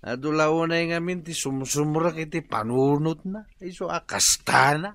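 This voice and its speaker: A man's voice: a little high, moderate pace, a bit bright, dry recording. A man with an Ilocano accent playing a carefree, lazy, hard-drinking husband in a radio comedy-drama. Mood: carefree, amused.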